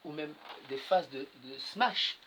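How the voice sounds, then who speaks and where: A man interviewing guests conversationally on radio, haltingly repeating words as he forms his questions. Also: a little high, sharp tone. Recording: clearly noisy radio interview recording.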